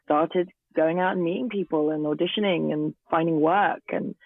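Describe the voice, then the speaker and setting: A woman in her late thirties with an English accent, a guest actor, talking casually from a garden shed. Her voice is hoarse from a recent illness, and she hesitates, with many ums and restarts. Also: low-pitched, steady tone, moderate pace, no room sound. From England. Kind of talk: casual interview chat. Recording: tinny phone-like remote line.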